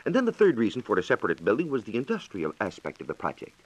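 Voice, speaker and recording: Low-voiced American 1940s radio science reporter. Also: male voice, wildly swooping pitch. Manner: formal, explanatory, measured diction. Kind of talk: scripted 1940s radio exposition. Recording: dull, lo-fi 1940s radio recording.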